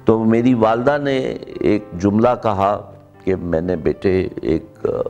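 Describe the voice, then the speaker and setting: Slow, low-voiced middle-aged Pakistani man. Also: expressive, a little mellow, dull audio, soft background music. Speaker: presenter. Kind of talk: introduction and formal recitation of a written speech.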